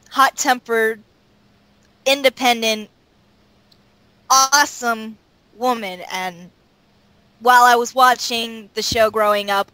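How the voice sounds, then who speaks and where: Casting: woman, higher pitch, sharp bright tone, blunt casual talker, very dry sound. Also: no room sound, rough recording. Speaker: cast member in a group interview. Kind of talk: casual chat.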